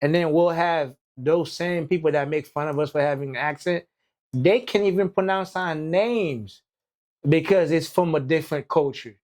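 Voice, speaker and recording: A man from Haiti with a Haitian accent, hosting a podcast in casual chat, animated and joking, with lots of short agreements. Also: fairly high pitch, normal speed. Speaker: podcast host.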